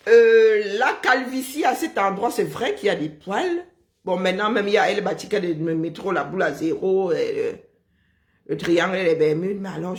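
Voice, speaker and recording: A woman from Cameroon with a Cameroonian French accent, chatting casually and telling lively stories, often breaking into laughter. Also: medium pitch. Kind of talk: casual chat.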